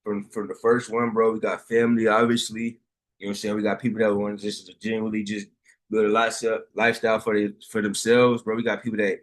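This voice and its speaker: A young man hosting a video call chat, laid-back and slangy. His voice sits a little low and comes through a call mic. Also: completely flat delivery, fast talker. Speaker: session host.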